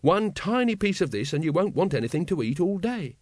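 A man's voice: sits high, very animated, fairly quick, darkish tone, no room sound, clean studio sound, pitched above the performer's own voice. A man voicing an earnest, literal-minded young watchman, polite, eager and guileless, in a storybook reading. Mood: proud, cheerful.

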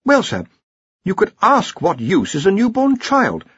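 Man as a high, nervous young wizard scholar. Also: wildly swooping pitch, a little slow, fairly bright tone, slightly rough, no echo, dull audio, heavily acted. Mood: hesitant, deferential. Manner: hesitant, anxious, mumbling, polite.

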